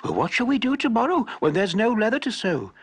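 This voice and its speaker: A man's voice: sits high, sing-song, brisk, husky, dry recording, voice pushed up, exaggerated voice. A man voicing an old shoemaker's wife who worries about money and comforts her husband in a storybook reading. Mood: anxious. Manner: worried, gently fussing.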